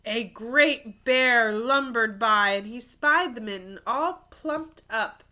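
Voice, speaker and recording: A woman with a very bright, fairly high voice reads a children's story aloud like a primary school teacher. Her pace is slow and deliberate. She reads expressively and slips into casual asides as if showing pictures to young listeners. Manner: expressive reading, pausing to point out pictures and explain words. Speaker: third grade teacher reading to pupils.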